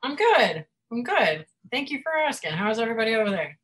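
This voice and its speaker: A woman author and publisher chatting as a podcast guest, animated and giggly, rambling with many fillers. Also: fairly high pitch, a little fast, husky. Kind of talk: casual chat. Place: Florida home.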